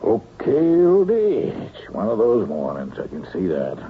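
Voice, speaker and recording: Wry, swooping police lieutenant. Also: male voice, lowish voice, wildly swooping pitch, unhurried. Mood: coaxing, patient. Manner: drily humorous, casual, coaxing. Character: wry, coaxing, cultured male homicide police lieutenant. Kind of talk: radio drama acting. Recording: tinny, hissy old radio broadcast recording.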